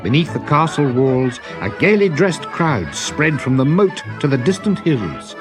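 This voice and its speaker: A man narrating a children's story in a dramatic, deliberate way, with expressive swells and hushes. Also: fairly high pitch. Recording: music behind the voice.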